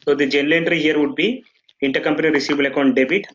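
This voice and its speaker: A man running a software training session, explaining steps and checking in with frequent "okay"s and restarts. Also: on the high side, fairly even intonation, racing, dull audio, slight background noise. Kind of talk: live online training session.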